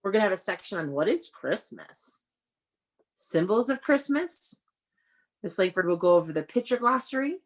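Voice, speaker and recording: A woman's voice: medium pitch, moderate pace. Woman teacher reading aloud to children. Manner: animated, explanatory, addressing children with questions. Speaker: elementary school teacher. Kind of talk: read-aloud lesson to young children.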